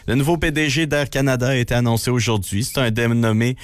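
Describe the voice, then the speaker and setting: A man's voice: mid-range voice, fairly even intonation, fast talker, smooth, no room sound. A man with a Quebec French accent reads traffic and news items on the radio, matter-of-fact, with slangy remarks between items. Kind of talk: radio news bulletin, read briskly with casual asides.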